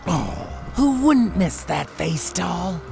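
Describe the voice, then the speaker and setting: Sly gangster heavy, slow croaky drawl, fake friendly threats, music under it. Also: male voice, high voice, sing-song, full character voice. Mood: sly menace. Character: menacing smooth-talking noir thug. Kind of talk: noir audio drama acting. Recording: music behind the voice.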